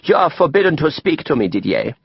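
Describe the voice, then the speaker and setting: Audiobook role: man playing sulky, naive woman, petulant and aggrieved, raised pitch, husky tone. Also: fairly quick, no room sound, highs cut off, exaggerated voice. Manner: petulant, complaining. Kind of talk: audiobook character acting.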